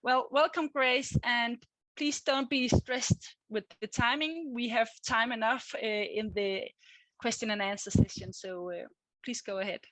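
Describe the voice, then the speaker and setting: A woman with a Danish accent introduces the next speaker in a careful, reassuring way. Her voice sits fairly high, and the recording is dry and close. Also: fairly even intonation, unhurried, a bit bright, dry recording, poor audio. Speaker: session moderator. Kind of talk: introducing a speaker at an online conference. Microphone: video call.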